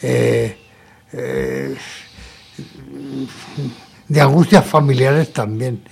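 A man in his seventies from Murcia, recalling his childhood in an interview, speaking in short measured phrases. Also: mid-range voice, big pitch swings, vocal fry, slight background noise. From Murcia, Spain. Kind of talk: conversational testimony.

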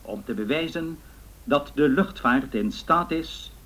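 A 1930s radio newsreader in a period radio play. He reads bulletins formally and with measured delivery, in a mid-pitched, husky voice. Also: animated, a bit bright, poor audio. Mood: formal, grave. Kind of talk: scripted 1930s radio news reading.

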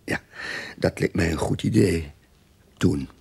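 An actor playing a reluctant, sceptical novice detective in a radio drama, gravelly and awkwardly polite. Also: male voice, sits low, measured pace, darkish tone, heavily acted. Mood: wry, uneasy. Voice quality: gravelly, husky. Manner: hesitant, colloquial, grumbling.

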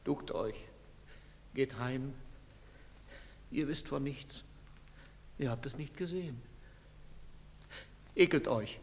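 A man's voice: a little high, lively intonation, a bit bright, a little hiss or hum. An actor playing a desperate, bitter father in a tragic drama, speaking with grave, repeated pleading. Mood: bitter contempt. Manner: slow, grave, repetitive pleading. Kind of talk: theatrical tragic drama acting. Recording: echoey hall, long reverb.

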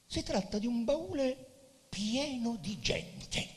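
An actor playing a weary, dreamy, melancholy poet near death, with theatrical, measured and expressive literary delivery. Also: male voice, very high voice, full character voice. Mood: courteous, warm. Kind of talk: literary stage monologue and narration. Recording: reverberant hall with music behind.

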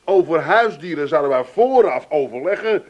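An actor playing a skeptical, bossy middle-aged son in a comic radio play, dry and suspicious. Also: male voice, a little high, lively intonation, normal speed, lo-fi sound.

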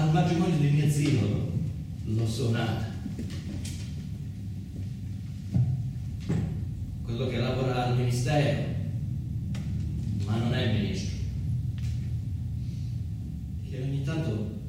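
A man's voice: on the low side, very noisy. A male actor playing a nameless, frayed-nerved man in a theatrical radio monologue, stammering and repeating himself in broken phrases as he reminisces. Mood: nostalgic, agitated. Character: nameless frayed-nerved southern Italian man recalling his lost childhood. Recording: very echoey, distant voice under loud music. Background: loud music.

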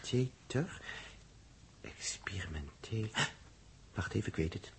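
A man's voice: on the low side, expressive, measured pace, whispered. An actor playing a practical, grumbling, hungry space traveller in a 1960s Dutch radio drama, hesitant and exclamatory as he thinks aloud. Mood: pondering.

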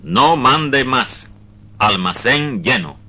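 A man acting a bossy, irritated company clerk in a radio drama, curt and emphatic, with a rough, husky voice. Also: mid-range voice, animated, drawn-out words, sharp tone, heavily acted. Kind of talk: 1980s Spanish-language radio drama acting.

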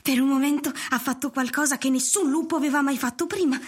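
A woman's voice: high-pitched, steady tone, fast talker, lightly acted. A woman playing a naive, hopeful little talking dog in an earnest, childlike way, in a radio drama. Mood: earnest pleading.

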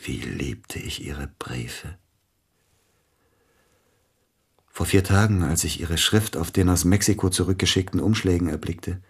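Grieving male pianist, radio drama, low husky voice, melancholy, self-doubting, intimate delivery. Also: full character voice. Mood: hushed, shaken. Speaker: actor in a radio play. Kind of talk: German radio drama acting, intimate dialogue.

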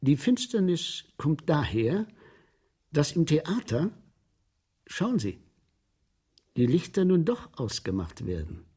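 A husky, swooping macabre showman. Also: on the high side, big pitch swings, slow, pauses often, mellow, exaggerated voice. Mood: sly, composed. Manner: savoring, theatrical storytelling with mimicry. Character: gleeful, philosophizing male sewer inspector turned macabre showman. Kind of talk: 1970s German radio play acting.

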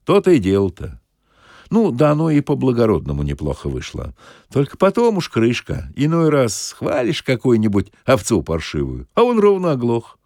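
A man's voice: medium pitch, huge pitch swings, moderate pace, a little mellow, no room sound, studio quality, pitched above the performer's own voice. A man voicing a thin, shameless soldier who spins boastful tales in folksy speech for a satirical audiobook. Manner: folksy colloquial storytelling. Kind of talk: audiobook dramatization of a satirical story.

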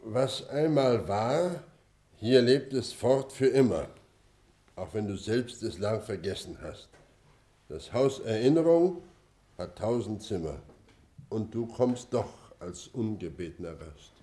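A middle-aged former pupil reciting poetry in a drama. The voice is dark and mellow, very slow, solemn and deliberate. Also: male voice, on the low side, expressive.

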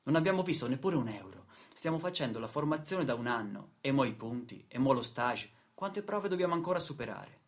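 A man's voice: mid-range voice, animated, fairly quick, few pauses, close to an everyday voice. A man acting a weary, indignant factory worker in a dramatic radio play monologue, with stammered repetitions. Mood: bitter, exasperated. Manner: dramatic, stammering repetitions, swings from muttering to outbursts. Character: weary, indignant male factory worker telling his grim story.

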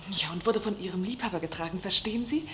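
A woman's voice: mid-range voice, quick pace, a bit bright, a little hiss or hum, lightly acted. An actress playing a proud, protective young Belarusian shop girl in a radio play, with a formal, reproving, emphatic manner. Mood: dignified.

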